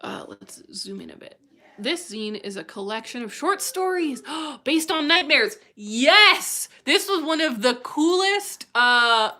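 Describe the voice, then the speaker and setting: A woman's voice: sits high, expressive, slow pace, sharp tone. A young woman hosting a livestream, talking in an effusive, enthusiastic way about viewer submissions. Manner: effusive and enthusiastic, gushing over what she reads. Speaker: livestream host. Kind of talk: live-streamed chat reading viewer submissions.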